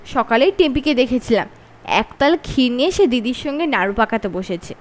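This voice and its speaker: A woman's voice: fairly high pitch, lively intonation, fairly quick, lightly acted. A woman with a Bengali accent voicing a stern, teasing middle-aged uncle in a children's story. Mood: mock grave.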